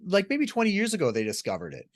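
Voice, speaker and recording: A man's voice: sits high, wildly swooping pitch, fast, dry recording. A man hosting a radio interview, chatting in a friendly way and laughing along with his guest. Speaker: radio show host. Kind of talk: casual interview chat.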